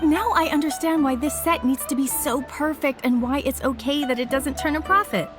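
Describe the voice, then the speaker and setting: An actress playing an eager, deferential artisan shopkeeper in a video game, with a polite, earnest and expressive manner. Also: female voice, sits high, normal speed, over music, close to an everyday voice. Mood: admiring, eager.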